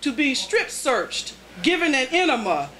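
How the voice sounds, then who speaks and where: A woman performing her poetry live at a reading, with deliberate, dramatic phrasing and pauses between short phrases. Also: high-pitched, big pitch swings, bright, forceful tone. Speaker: poet, featured reader at a poetry reading. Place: indoors at a cafe poetry reading. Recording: roomy live cafe recording, faint background noise.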